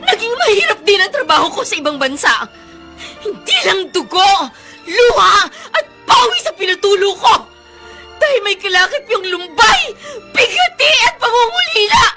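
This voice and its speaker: An anguished mother in a Filipino radio melodrama, very high and swooping in pitch. She is tearful, pleading and determined, with music behind her. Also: big pitch swings, moderate pace, exaggerated voice. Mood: anguished, defiant. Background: loud dramatic music.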